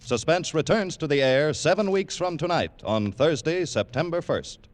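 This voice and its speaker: A man's voice: fairly high pitch, animated, normal speed, background noise. A man announcing in a dramatic 1940s radio style, on a vintage recording. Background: music.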